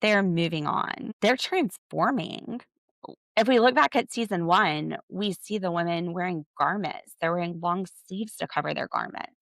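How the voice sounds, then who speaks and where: An American woman hosting a podcast, giving casual, opinionated commentary in an animated, sarcastic, slangy way. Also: lowish voice, normal speed, gravelly, dry recording. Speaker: podcast host.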